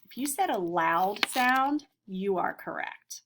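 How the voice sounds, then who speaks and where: A woman's voice: medium pitch, lively intonation, slow, background noise. An American woman teacher giving an instructional read-aloud for children, putting simple questions to her pupils. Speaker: elementary school teacher.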